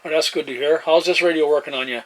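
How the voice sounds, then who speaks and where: A man chats casually in a halting, laid-back way, using CB radio jargon like a hobbyist at his desk. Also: a little high, steady tone. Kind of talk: casual radio chat and demo narration. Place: indoor desk beside CB radio sets. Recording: noisy room with radio hiss. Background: radio static and room noise.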